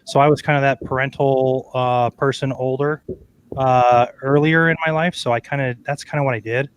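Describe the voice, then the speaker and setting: A man hosts a relaxed talk about raising kids, his speech halting and full of restarts. His pitch sits in an ordinary middle range. The sound is dry and close. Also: clear, resonant tone, no room sound, low-quality recording. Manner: halting, repeats words, laughs easily. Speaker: host. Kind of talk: casual parenting chat.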